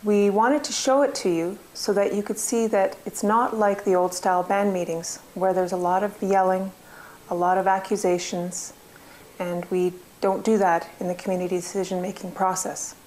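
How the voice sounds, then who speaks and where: A woman's voice: medium pitch, steady tone, moderate pace, a little mellow, a little hiss or hum, poor audio. A woman giving a scripted introduction as the presenter of a community information video. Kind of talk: scripted narration.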